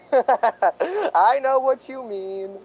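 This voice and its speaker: A slow, very animated voice on a dull recording, a man acting a folksy male gate guard. He is chatty and jovial, in radio-play style. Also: very high-pitched, slight background noise, exaggerated voice.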